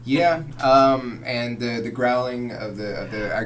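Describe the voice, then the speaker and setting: A man's voice: mid-range voice, unhurried. A man in his thirties chatting casually and a little hesitantly as co-host of a home reaction video. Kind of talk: casual chat, music reaction. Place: small home room, indoors. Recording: faint background hum.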